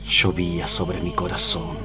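A man's voice: sits low, completely flat delivery, unhurried. An actor playing a proud, lovesick tough guy in a tango radio play, with an Argentine accent and poetic, dramatic delivery. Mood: melancholy. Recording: music behind the voice.

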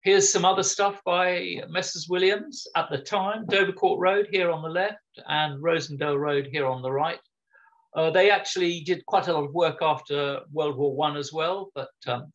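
Older guy giving a history talk on a video call, slightly high voice, kinda muffled audio, lots of ums. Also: normal speed, fairly bright tone. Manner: chatty, informal, with frequent ums and restarts. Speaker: local history lecturer. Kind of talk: illustrated online history talk. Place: home room.

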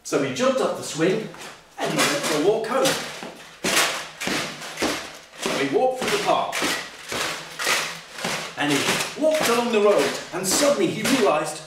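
A man's voice: high-pitched, very animated, unhurried, pauses often. Older male storyteller, theatrical and playful, swooping delivery, recorded from a distance on an echoey stage. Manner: theatrical, exclamatory, playful asides. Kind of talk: animated children's storybook reading. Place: indoor curtained stage. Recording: echoey hall, distant mic, faint noise.

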